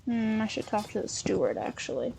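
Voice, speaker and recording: A woman's voice: medium pitch, big pitch swings, brisk, vocal fry, dark tone, a little hiss or hum. A woman doing an improvised in-character let's play, chatty and hesitant as she thinks aloud. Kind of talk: in-character improvised gameplay roleplay and commentary.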